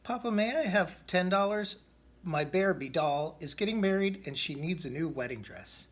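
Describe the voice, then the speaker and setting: Guy doing a lil sister bear, high husky voice, eager, pushy, storybook style. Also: expressive, moderate pace. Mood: eager pleading.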